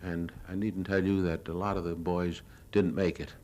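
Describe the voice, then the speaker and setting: An older American man giving a lecture, narrating his own war film in a measured, plain-spoken, reminiscing way. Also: deep voice, little pitch movement, a little mellow. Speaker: newsreel reporter giving a lecture. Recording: 1970s archival lecture audio recording.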